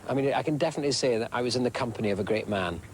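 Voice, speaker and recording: A man's voice: medium pitch, very fast, a little hiss or hum. A man giving spoken documentary commentary. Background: music.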